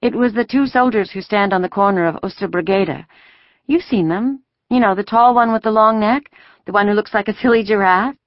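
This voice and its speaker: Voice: mid-range voice, a little fast, no echo, highs cut off, pitched above the performer's own voice, lightly acted. A narrator voicing an earnest, careful young schoolgirl who speaks politely and reassuringly in a storybook reading.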